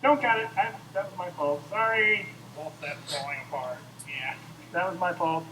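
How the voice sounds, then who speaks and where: A man voicing an excitable, whiny sock puppet host in flustered, improvised comic banter. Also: high voice, moderate pace. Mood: excited, flustered. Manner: flustered, exclaiming, swooping. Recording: roomy echo, distant mic, faint noise.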